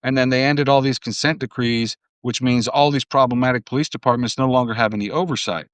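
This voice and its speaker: A man's voice: mid-range voice, steady tone, brisk, dry recording. A man from New Jersey explains the news earnestly, leaning on "you know" as he goes. His voice sits in the middle range and sounds dry and close to the mic. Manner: earnest, explanatory, filler-heavy. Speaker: podcast co-host, journalist. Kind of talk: casual political talk.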